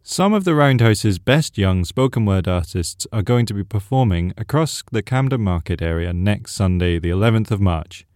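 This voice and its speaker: A man's voice: fairly low pitch, animated, moderate pace, few pauses, darkish tone, no room sound, clean studio sound. A man presenting scripted local event announcements on community radio.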